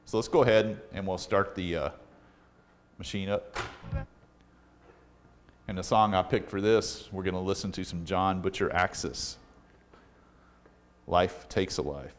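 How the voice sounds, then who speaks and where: A man's voice: deep voice, measured pace, long pauses, a little hiss or hum. A man talking casually and plainly as he walks through repairing and testing a reel-to-reel deck. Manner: plainspoken, practical, slightly blunt. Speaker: vintage audio repair technician.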